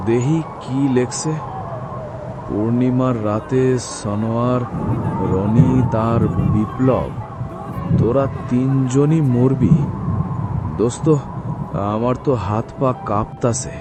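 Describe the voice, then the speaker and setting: A man playing a slow-talking, uneasy schoolboy. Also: over loud music, natural voice. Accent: Bangladeshi Bengali dialect. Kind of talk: acted audio horror story dialogue. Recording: noisy, vehicle rumble behind.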